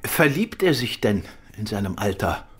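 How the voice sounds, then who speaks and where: A man acting in a German radio play as a shrewd, composed gentleman detective, polite but decisive. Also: on the low side, big pitch swings, normal speed, breathy edge. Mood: thoughtful, polite.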